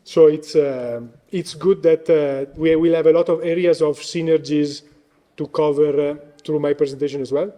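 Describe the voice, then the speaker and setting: A man's voice: on the high side, fairly even intonation, normal speed, mellow. A man with Italian as his first language, giving a conference presentation as guest speaker while losing his voice, hesitant with frequent fillers.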